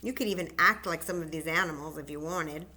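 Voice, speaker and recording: A woman librarian leading a children's story time, chatting gently and encouraging the kids as she introduces songs. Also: sits low, fairly quick. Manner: gentle, encouraging, chatty, singing simple tunes.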